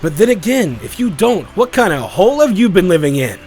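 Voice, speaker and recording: A man voicing a cheeky, showy tournament announcer in an animated fiction, hyping fights to the crowd as a chatty showman. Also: high voice, brisk, soft background music. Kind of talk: theatrical arena fight commentary.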